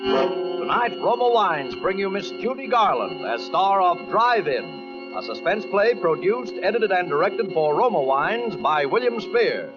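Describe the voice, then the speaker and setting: Slow, high-pitched dramatic male radio announcer. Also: very animated. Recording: muffled 1940s radio broadcast, loud music.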